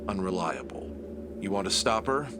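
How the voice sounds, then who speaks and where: A man acting in an audio drama as a cunning, proud villain who talks formally and condescendingly, in a measured, menacing way. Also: deep voice, big pitch swings, very noisy. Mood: cold, scheming. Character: cunning, proud villain, reluctant ally to his nemesis.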